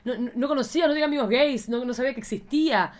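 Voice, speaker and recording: A woman's voice: high voice, racing. A woman with an Argentine accent hosting a casual chat in a theatrical, exclamatory, campy manner. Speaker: podcast host.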